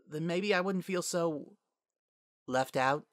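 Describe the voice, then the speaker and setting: A man's voice: on the high side, slow pace, no echo, voice pushed up. A man voicing a feisty, wounded young unicorn girl in a narrated fantasy story. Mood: soft, wistful.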